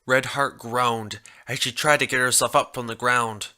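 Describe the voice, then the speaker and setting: A man's voice: mid-range voice, fairly even intonation, moderate pace, sharp tone. A man reading a piece of fan fiction aloud as a scripted narration.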